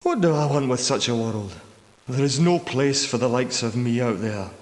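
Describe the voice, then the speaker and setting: A man's voice: medium pitch, expressive, moderate pace, a little mellow, close to an everyday voice. Game role: wary, worn-out old Scottish soldier, male actor, guarded dramatic delivery, heavy hall echo. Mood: resolute, bitter. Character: weary, wary old war veteran trapped underground for years. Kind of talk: video game character dialogue. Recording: echoey, long hall-like reverb.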